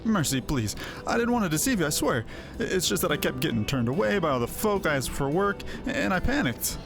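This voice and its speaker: A man's voice: fairly high pitch, big pitch swings, fairly quick, creaky. A man voicing a cowardly, boastful mercenary axeman in a fantasy game, with a stammering, pleading manner. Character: cowardly boastful hard-drinking axeman, a bumbling male mercenary. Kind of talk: voiced fantasy game dialogue. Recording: music under the voice.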